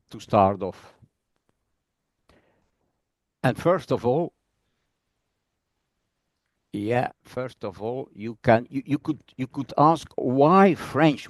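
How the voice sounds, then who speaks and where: A man's voice: on the low side, darkish tone, no room sound. A Belgian man with a Flemish accent, a native Dutch speaker, giving a friendly presentation in English. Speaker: guest presenter. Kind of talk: presentation over video call.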